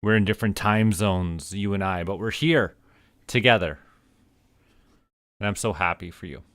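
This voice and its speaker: A man chatting casually on a livestream as host, rambling and halting in asides to viewers. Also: on the low side, a bit bright, dry recording. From Canada. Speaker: streamer, VTuber host.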